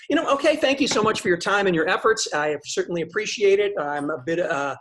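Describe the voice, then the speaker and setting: A man's voice: high voice, lively intonation, quick pace, a bit bright. A man playing a pompous, thin-skinned professor in a web comedy, theatrical and stammering when challenged. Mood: exasperated. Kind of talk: scripted comedy acting on a video call.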